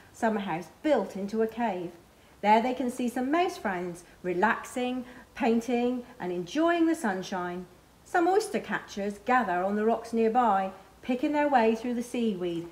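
Female library storyteller, middle-aged, slightly high voice, expressive picture-book delivery for children. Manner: expressive, pausing to point out pictures. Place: small library children's corner, indoors.

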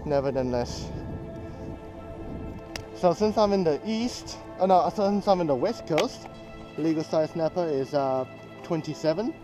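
Guy from new zealand vlogging his fishing on a jetty, slightly high voice, music playing under him, thinks out loud. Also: low-quality recording. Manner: chatty, excitable, thinks aloud. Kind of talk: casual running commentary while fishing. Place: outdoors on a rocky jetty by the sea. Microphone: head-mounted action camera.

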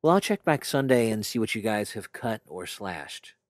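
A man making a prank phone call with deliberate, creepy insinuation. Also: fairly low pitch, very animated, slight background noise. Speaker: prank caller. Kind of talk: prank phone call in character as a film slasher.

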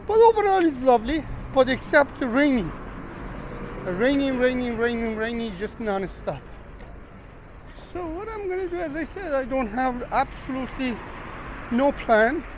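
A man's voice: very high voice, very animated, a little slow. A man talking casually to viewers while walking a rainy street, rambling and chatty, calling them guys. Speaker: travel vlogger. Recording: street traffic noise behind.

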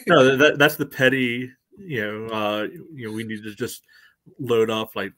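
A man's voice: medium pitch, steady tone, a little slow, bright, forceful tone. A man in his thirties on a video call, chatting casually about sports as a panelist in a loose, rambling way. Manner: loose, rambling, peppered with "you know". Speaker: soccer podcast panelist. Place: indoor room at home, video call. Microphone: video call microphone.

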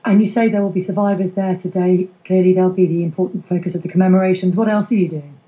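Very mellow, low woman presenter. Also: steady tone, quick pace, poor audio. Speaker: radio news presenter, interviewer. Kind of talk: live news interview.

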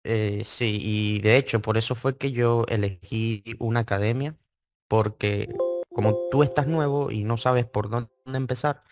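A man's voice: on the low side, steady tone, a little slow, over music. A man, answering interview questions casually and hesitantly, repeating words. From Venezuela (Mérida). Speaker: interview guest, trading student.

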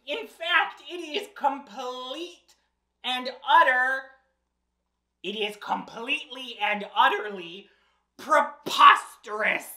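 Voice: sits high, takes it slowly, sharp tone. A drag storyteller with a theatrical, exaggerated and playful delivery, reading aloud to children and chatting as host. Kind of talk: animated children's read-aloud and chatty presenting. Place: small indoor set, seated in an armchair. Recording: roomy indoor echo.

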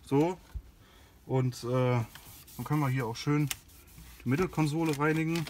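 Higher-voiced man in a noisy recording. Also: measured pace. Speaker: car care video host. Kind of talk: casual product demonstration while working. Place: inside and beside a car in a garage.

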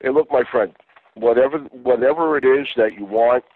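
A man's voice: mid-range voice, normal speed, dry recording. A man answering a phone call as an attendant at a treatment center. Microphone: telephone. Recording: dull narrow telephone line.

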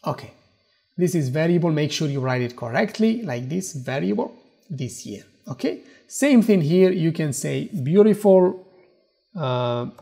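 A man walking through a software tool in a screen-recorded tutorial, explaining step by step in slightly non-native English. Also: on the high side, very animated, measured pace, creaky. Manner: explanatory, repeats "okay" and "as you can see". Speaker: tutorial presenter.

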